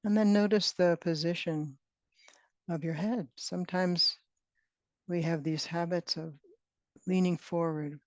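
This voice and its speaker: A woman leading a guided meditation as a teacher, speaking in gentle, unhurried phrases with long pauses. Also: fairly low pitch, fairly even intonation, no room sound. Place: online retreat session, close dry sound. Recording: dull, band-limited online call audio.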